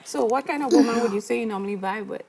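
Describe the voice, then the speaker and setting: A woman's voice: a little high, fast, gravelly, noisy background. A woman from Trinidad with a Trinidadian accent, hosting a talk show and interviewing a guest in a playful, flirty, teasing way.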